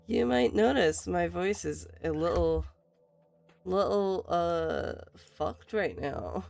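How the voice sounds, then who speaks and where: A woman, hoarse and raspy, chatting casually while she draws on a stream and laughing often. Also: slow pace, darkish tone. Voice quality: raspy, lower than usual. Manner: laughs often, reassuring, rambling. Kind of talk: casual chat while drawing.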